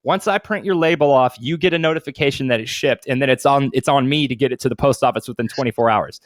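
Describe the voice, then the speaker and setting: A man's voice: mid-range voice, quick pace, no room sound. A man in his thirties chatting casually as a podcast co-host, informal and swearing freely with lots of dude slang. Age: 30s. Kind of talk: casual chat.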